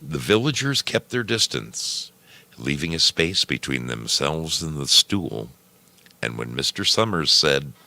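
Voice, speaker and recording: A man with an extremely deep voice narrates a story in measured phrases. His tone is gravelly and creaky, and his intonation swings in a lively way as he moves deliberately through the prose. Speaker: storyteller and program host. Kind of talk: scripted narration of a short story.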